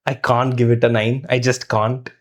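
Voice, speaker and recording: A man's voice: medium pitch, little pitch movement, moderate pace. A man co-hosting a conversational film review, thinking aloud in a hesitant, thoughtful way with the odd mild swear word.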